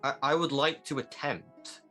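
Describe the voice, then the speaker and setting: A man chatting casually as a player in a tabletop roleplaying game, in a tentative, halting way. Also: medium pitch, fairly even intonation, bright, forceful tone, faint music behind.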